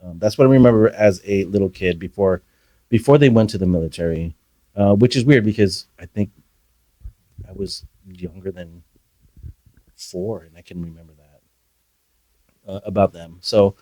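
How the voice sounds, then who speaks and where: A man guest on a podcast, telling his childhood story in a soft, hesitant way with many pauses. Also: on the low side, no room sound, rough recording. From Philippines. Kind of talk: reflective personal storytelling.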